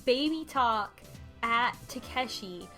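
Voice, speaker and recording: A woman's voice: fairly high pitch, lively intonation, slow pace, bright, forceful tone. A woman casually recapping a game's story among friends, exasperated and mimicking lines as she goes. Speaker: book club podcast panelist. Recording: music playing behind the voice.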